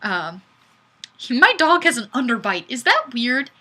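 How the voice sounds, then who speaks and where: A woman hosting a casual live stream, chatting and reading comments in a goofy, animated, exclamatory way. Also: on the high side, unhurried. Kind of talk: casual chat, reading viewer comments aloud.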